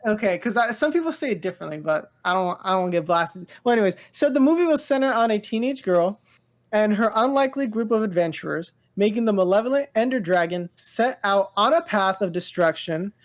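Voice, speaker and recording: A woman co-hosting a casual pop-culture news chat, speaking in an informal, halting, slightly bewildered way with slang. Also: medium pitch, expressive. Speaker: podcast co-host.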